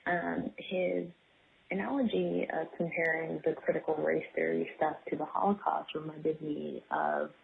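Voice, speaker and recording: A woman leaving a voicemail, speaking haltingly and correcting herself as she goes. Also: low voice, fairly even intonation, vocal fry, breathy edge. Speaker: voicemail caller. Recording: tinny phone voicemail.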